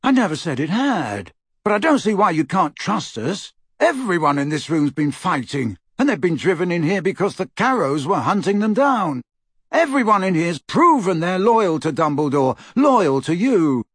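Man voicing an indignant teenage boy rebel, pitched high. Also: sing-song, normal speed, husky, dry recording, studio quality, exaggerated voice. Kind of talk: audiobook character voice.

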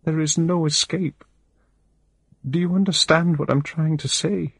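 A man's voice: medium pitch, very animated, measured pace, breathy edge, no echo, voice pushed up, full character voice. A man voicing a distraught middle-aged woman who speaks in a shaky, trembling, pleading way. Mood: distraught, tearful.

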